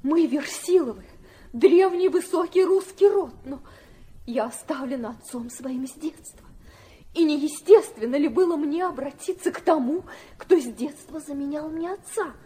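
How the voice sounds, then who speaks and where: An actress as high, soft-toned proud noblewoman. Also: normal speed, close to an everyday voice. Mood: wounded pride. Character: proud, well-born young woman, composed and pleading. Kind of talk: Russian radio drama acting.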